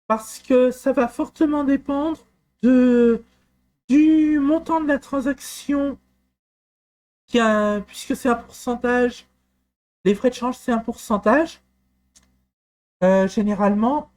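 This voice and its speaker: A man from France talking through a software walkthrough, hesitant with frequent fillers and restarts. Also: very high-pitched. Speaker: software tutorial presenter. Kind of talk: screen-recorded walkthrough.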